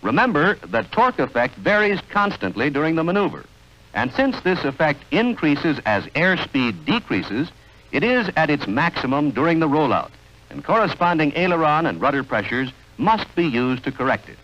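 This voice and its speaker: A man reading scripted 1940s training film narration in a measured, instructional, clearly enunciated manner. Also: on the high side, big pitch swings, fairly bright tone. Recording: dull, band-limited vintage film soundtrack.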